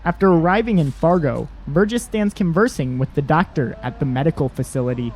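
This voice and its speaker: A man's voice: a little high, expressive, brisk, darkish tone, breathy edge, faint music behind. A man reading scripted present-tense narration for an audio drama.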